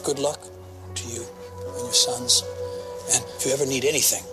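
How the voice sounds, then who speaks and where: An actor playing an earnest, courteous police lieutenant in a radio drama, speaking in a measured, serious way. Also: male voice, medium pitch, fairly even intonation, close to an everyday voice. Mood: gentle, sombre. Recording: music behind the dialogue.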